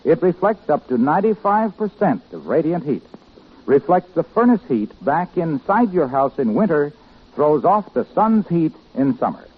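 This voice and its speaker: A man's voice: on the high side, very animated, moderate pace, slightly rough. A man announcing for a 1950s radio sponsor in a polished, salesmanlike delivery. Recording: muffled 1950s radio broadcast.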